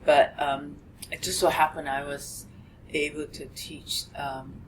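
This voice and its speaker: A woman's voice: low voice, level tone, a little slow, slight background noise, low-quality recording. A woman whose first language is Japanese, a counselor and instructor, speaking in a chatty, easygoing way. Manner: chatty, laughs easily. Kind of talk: casual self-introduction.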